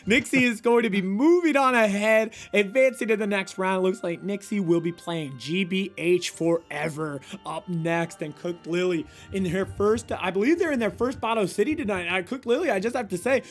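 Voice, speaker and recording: High-energy male stream host, slightly hoarse, fast stammering shout-outs over faint game music. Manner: hyped, rapid shout-outs with frequent stammered restarts. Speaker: tournament host and commentator. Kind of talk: energetic esports stream commentary.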